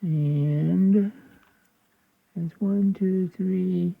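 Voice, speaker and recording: Creaky-voiced American man, phone-like sound. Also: high-pitched, fairly even intonation, very slow, dry recording. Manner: folksy, colloquial, thinking aloud. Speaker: host of a radio repair video. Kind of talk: unscripted hands-on repair commentary.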